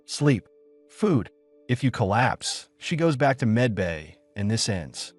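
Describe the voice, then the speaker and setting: A man voicing a steady, protective ex-soldier in an audiobook, speaking in terse, firm instructions. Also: mid-range voice, slow, no echo, faint music behind. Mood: firm, calm. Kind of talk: audiobook character dialogue.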